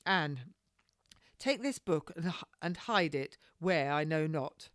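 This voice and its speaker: A woman's voice: low-pitched, lively intonation, takes it slowly, a bit bright, no room sound, studio quality, full character voice. Woman acting a haughty period householder. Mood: firm. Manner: indignant, formal period speech. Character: haughty, sharp-tongued female householder of olden times.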